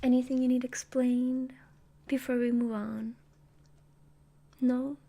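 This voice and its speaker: A woman's voice: sits high, flat delivery, takes it slowly, mellow. A woman streamer chatting casually between comic readings, jokey and giggly.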